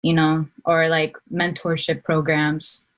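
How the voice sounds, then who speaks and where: A young woman from Spain speaks as a college student on a panel. She explains earnestly in casual talk full of "like" fillers. Her voice is notably low for a woman. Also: flat delivery, slow. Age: young adult. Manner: earnest, uses many "like" fillers.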